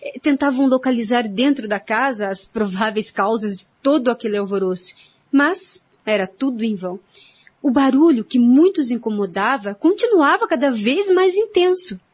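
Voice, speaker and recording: A woman's voice: a little high, lively intonation, moderate pace, breathy edge. A woman narrating a radio serial from a script in a dramatic storytelling style. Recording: dull recording with music.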